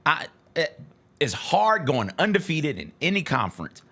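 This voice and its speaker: A man's voice: a little high, huge pitch swings, moderate pace, a bit bright. A man giving casual, emphatic sports commentary as a talk show co-host, repeating points for stress.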